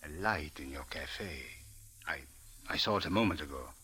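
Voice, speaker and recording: An actor playing a dogged, suspicious police officer in a 1940s radio drama, with stiff, formal phrasing and hesitant pauses. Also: male voice, very deep voice, lively intonation, pushed and bright, breathy edge, full character voice. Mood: insistent. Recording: old radio drama recording.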